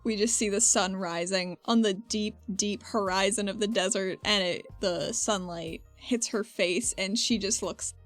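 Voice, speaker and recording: A woman's voice: medium pitch, steady tone, unhurried, hardly any pauses, faint music behind. A woman playing a tabletop roleplaying game, chatting and improvising narration in a casual, playful way.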